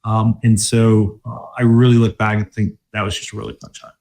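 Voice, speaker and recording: A man from Michigan speaking as a guest in a casual interview, relaxed and thoughtful, with many fillers and restarts. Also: fairly low pitch, a little fast. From Michigan, USA. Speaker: guest, corporate mobility director.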